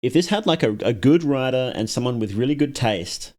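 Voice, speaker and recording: Male streamer, casual live reaction, repeats lines to himself, mid pitch that jumps around a lot. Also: animated, fairly quick, a little mellow. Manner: casual, thinks aloud, repeats lines back.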